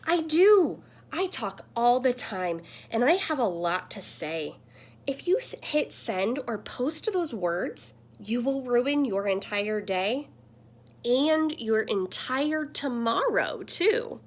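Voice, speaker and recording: A woman voicing a friendly, earnest talking computer screen that gently scolds, in a children's picture book. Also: fairly high pitch, big pitch swings, slow pace, heavily acted. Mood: earnest, insistent. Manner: earnest, explanatory.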